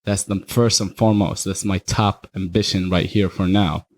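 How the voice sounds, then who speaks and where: A man's voice: deep voice, fairly even intonation, normal speed. A young man from Iraq, talking candidly and rambling a little as a podcast guest. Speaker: guest, party promoter. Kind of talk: casual podcast chat.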